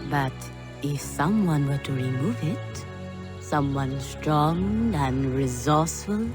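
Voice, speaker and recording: Sorrowful middle-aged mother: low, tender, formal, game drama, music behind. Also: lively intonation, slow pace. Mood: cautious, urgent. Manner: solemn, formal phrasing. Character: tender, sorrowful long-absent mother, freed woman in exile. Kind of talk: dramatic video game cutscene acting. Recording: background music.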